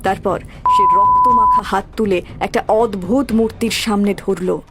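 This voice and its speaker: A woman's voice: mid-range voice, wildly swooping pitch, measured pace. A woman giving scripted, dramatic narration of a horror story. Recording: background music throughout.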